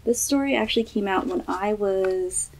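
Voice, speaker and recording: A woman's voice: medium pitch, normal speed, a little mellow, a little hiss or hum. A woman in her twenties doing a casual children's picture-book read-aloud in a small room. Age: 20s.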